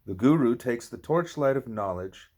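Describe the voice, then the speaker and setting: A man's voice: medium pitch, animated, moderate pace, darkish tone. A man reading a spiritual teaching aloud like an audiobook, occasionally stumbling and repeating words. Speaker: audiobook reader.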